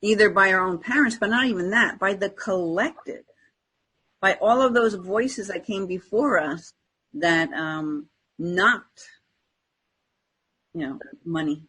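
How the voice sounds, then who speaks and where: A woman co-hosting a casual, conversational spiritual discussion podcast, with a slightly husky voice. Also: mid-range voice, slow pace, pauses often, sharp tone. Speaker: podcast co-host.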